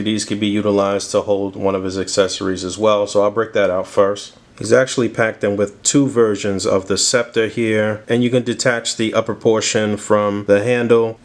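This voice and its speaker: Male video reviewer, lower register, informal and conversational, uses slang while presenting. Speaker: toy reviewer, video host. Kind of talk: casual action figure review narration.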